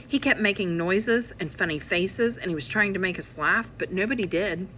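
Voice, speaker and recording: Woman, bright crisp delivery, expressive storybook read-aloud, animated teacher manner, faint background noise. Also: medium pitch, normal speed, rough recording. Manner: animated, acts out characters' lines.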